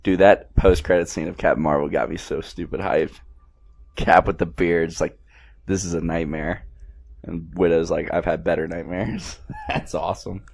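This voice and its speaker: A man giving casual, slangy, excitable fan commentary as he watches a movie. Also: low-pitched, normal speed, gravelly. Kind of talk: casual film reaction commentary.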